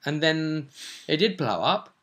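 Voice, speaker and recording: A man hosting as game master, casually recounting a tabletop roleplay session in an animated storytelling way, often ending on tag questions. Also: a little high, unhurried. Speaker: game master and show host.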